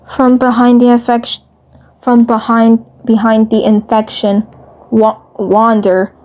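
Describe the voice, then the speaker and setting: A woman storyteller with a mellow, slightly high voice. She narrates dramatic fan fiction haltingly, going back over her phrases as she goes. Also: level tone.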